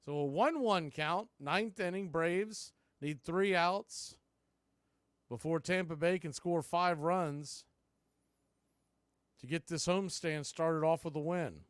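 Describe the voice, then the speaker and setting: A man calling a baseball game live as a play-by-play announcer, mixing clipped pitch calls with folksy, casual asides. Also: slow, smooth, dry recording, studio quality. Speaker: baseball play-by-play announcer for a fan radio stream.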